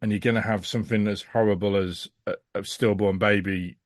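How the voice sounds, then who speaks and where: Low-pitched man chatting casually. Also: fairly even intonation, brisk, no room sound, clean studio sound. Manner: rambling, emphatic, frank and sweary. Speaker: podcast guest reviewer. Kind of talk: casual review chat.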